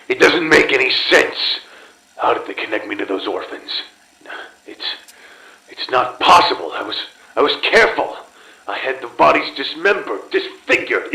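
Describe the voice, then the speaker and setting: A man playing a desperate, paranoid and murderous scientist in a halting, intense monologue for a horror game audio log. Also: mid-range voice, creaky, pushed and bright, roomy sound, heavily acted, rough recording. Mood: indignant, panicked.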